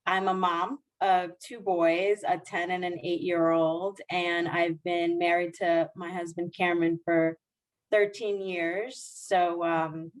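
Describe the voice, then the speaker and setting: Woman, 40s, lowish voice, earnest podcast guest on a remote call, lots of restarts. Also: level tone, slow pace, rough recording. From Dominican Republic. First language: Spanish. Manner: warm, earnest, frequent restarts and soft laughs. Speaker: guest, diversity executive. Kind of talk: podcast interview.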